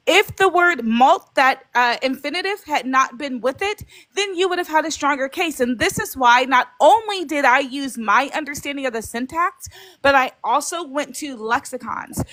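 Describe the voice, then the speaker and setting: A woman with a very high voice argues her case in a live online debate. She sounds insistent and defensive, laying out her reasoning point by point. The sound is close and dry, with no room around it. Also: a bit bright, no echo, rough recording.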